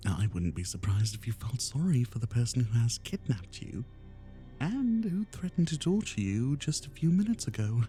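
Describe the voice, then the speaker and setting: A man playing an obsessive, lovesick and menacing kidnapper in a roleplay ASMR monologue, with a breathy voice that often drops into whispers and theatrical, lingering emphasis. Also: mid-range voice, animated, normal speed, mellow, faint music behind, natural voice. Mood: tender, threatening.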